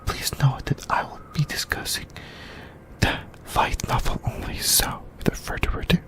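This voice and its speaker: An ASMR host delivering an explainer in a breathy, unvoiced whisper, with halting, deliberate phrasing in short bursts. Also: fairly bright tone. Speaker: host of an anime discussion video.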